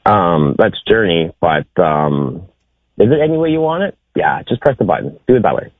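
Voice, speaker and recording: Raspy deep-voiced guy calling in on a tinny phone, low pitch, jokey, voice swoops around a lot. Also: big pitch swings, normal speed, no room sound. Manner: joking, hums and sings song snippets. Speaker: caller. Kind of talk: casual radio call-in game.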